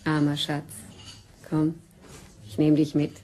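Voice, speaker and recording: A woman's voice: low-pitched, monotone, slow pace, dark tone. An actress playing a worldly, teasing woman, flippant and cool, in a German-style radio drama. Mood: mocking, amused.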